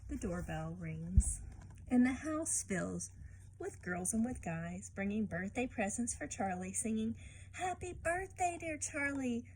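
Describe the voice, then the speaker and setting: A woman reading a picture book aloud and teaching children informally, expressive and often turning to the listener with questions. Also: a little high, unhurried, slight background noise, poor audio.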